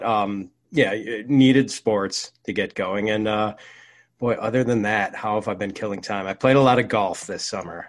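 An American man appearing as a podcast guest, modest and friendly, talking with plenty of fillers. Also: on the low side. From United States. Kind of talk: casual chat.

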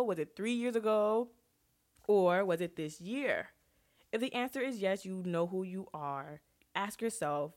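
A young woman hosting her own podcast, talking casually and earnestly to listeners while congested and sniffly from a cold. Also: on the low side. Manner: earnest, asks listeners direct questions. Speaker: podcast host. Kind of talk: casual solo podcast advice talk.

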